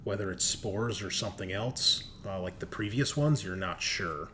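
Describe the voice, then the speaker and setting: A man with a lowish voice works as game master for a tabletop roleplaying session. He describes things conversationally and swears casually. There is faint noise behind him. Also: moderate pace, bright, forceful tone.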